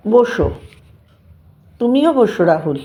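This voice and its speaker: A woman's voice: fairly high pitch, big pitch swings, takes it slowly, mellow, heavily acted. A woman acting a homely, watchful middle-aged village mother in an audio drama, firm and motherly. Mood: motherly, concerned.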